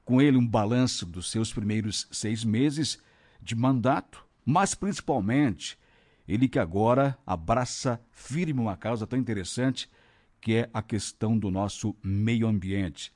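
Brief: radio host, man with a lowish voice, friendly interviewer. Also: a little mellow.

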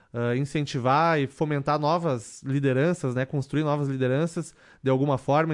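Brief: man in his thirties, councilman guest on a radio show, steady, measured delivery with frequent fillers. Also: fairly high pitch. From southern Brazil. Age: 30s. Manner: measured, many fillers and restarts. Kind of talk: radio interview answers.

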